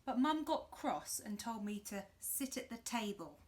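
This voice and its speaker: A woman reading a picture book to young children, expressive and demonstrative, in simple interactive phrases. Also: a little high, unhurried. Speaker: children's storyteller.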